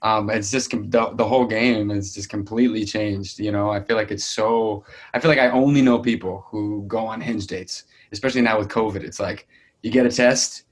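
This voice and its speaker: A man with a fairly low voice talks casually. He rambles informally, looping through half-finished thoughts with plenty of filler words. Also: fairly even intonation, moderate pace. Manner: rambling, peppered with "like" and "you know". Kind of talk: casual chat.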